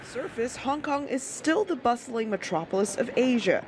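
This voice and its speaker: A woman reporter reading scripted news narration in a measured, even delivery. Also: a little high, background noise.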